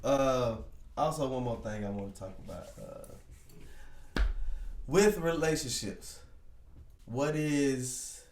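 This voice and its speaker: A man's voice: on the low side, darkish tone, recorded from a distance. A man in his thirties hosting a podcast with laid-back, slangy casual chat. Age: 30s. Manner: laid-back, slangy, swears and jokes freely. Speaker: podcast host. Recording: echoey room, voice off-mic.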